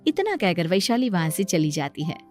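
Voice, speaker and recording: A woman's voice: fairly low pitch, big pitch swings, normal speed. A woman narrating a scripted dramatic story. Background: background music.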